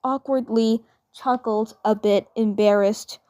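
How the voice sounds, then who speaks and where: A woman narrates a fan-fiction scene in a fairly high voice. She goes slowly and haltingly, doubling back on words. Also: fairly even intonation, dark tone, dry recording, studio quality.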